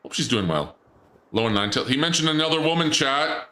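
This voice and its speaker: Male streamer, crisp bright voice, echoey room, chatty and joking with chat. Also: mid-range voice, very animated, fast. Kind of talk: casual gameplay commentary.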